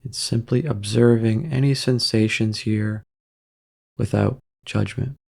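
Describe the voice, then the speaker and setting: A man leading a guided meditation in a calm, gentle, measured way, leaving long pauses between soft instructions. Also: fairly low pitch, vocal fry, husky.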